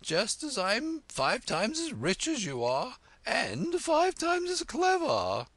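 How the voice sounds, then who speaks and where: A shrill bossy queen. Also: wildly swooping pitch, a bit bright, no echo, heavily acted. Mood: imperious. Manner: commanding, drawn-out emphatic words. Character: imperious proud queen, bossy and loud.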